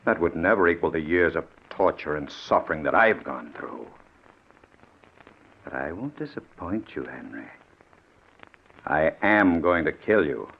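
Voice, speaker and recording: An actor in an old radio suspense play playing a bitter, vengeful ex-convict, speaking with sardonic, deliberate menace. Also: male voice, a bass voice, big pitch swings, vocal fry, breathy edge, full character voice. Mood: cool hatred. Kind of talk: 1940s radio suspense acting. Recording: muffled old radio recording.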